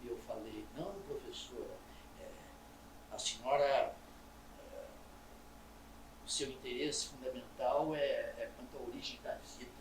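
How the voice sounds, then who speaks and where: An older man from Salvador, a stage actor telling his story in an interview in a measured, reflective way. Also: mid-range voice, fairly even intonation, slight background noise. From Brazil, Salvador. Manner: measured, reflective, with frequent pauses and "né?". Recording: roomy echo, distant mic, audible ambience.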